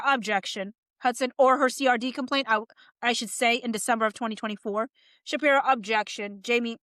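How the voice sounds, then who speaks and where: Woman reading out a court transcript, voice a bit on the high side, really dry sound no room. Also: a bit bright, dry recording. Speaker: narrator reading a legal transcript. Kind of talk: scripted read-aloud of deposition questions and answers.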